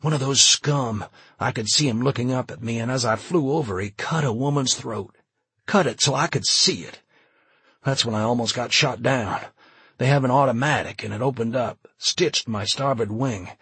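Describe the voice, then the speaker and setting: Male narrator as traumatized old-war pilot, husky and rough, agitated, anguished, audiobook drama, close dry sound. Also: mid-range voice, normal speed, fairly bright tone, dry recording, voice pushed up. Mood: agitated, horrified. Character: shaken male pilot, traumatized war veteran recounting horrors.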